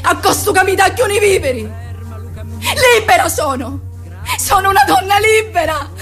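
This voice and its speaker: A woman's voice: very high-pitched, expressive, normal speed, fairly bright tone, faint music behind, heavily acted. An actress playing a defiant young Sicilian woman in a theatrical monologue, speaking Sicilian-accented Italian with intense, confiding emphasis and repetition. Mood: defiant, anguished. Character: defiant young Sicilian woman, passionate, rebelling against honour customs.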